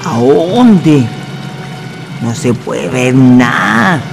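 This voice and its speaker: A man's voice: medium pitch, sing-song, very slow, gravelly, heavily acted. An actor playing a weary, cautious village man in a radio drama, plaintive and colloquial, with a Chilean accent. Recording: music behind the voice.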